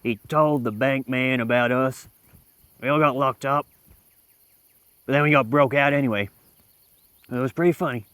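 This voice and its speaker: A man playing a callous Old West outlaw who tells stories in a rambling, deadpan way, his voice dry. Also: mid-range voice, moderate pace, long pauses, clear, resonant tone, no echo, close to an everyday voice. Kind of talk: roleplay in a western game.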